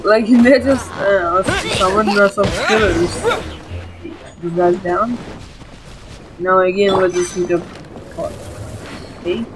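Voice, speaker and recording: A woman's voice: mid-range voice, slow pace, long pauses. A woman hosting a gaming video with casual, informal, rambling gameplay commentary, often saying "like". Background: game music.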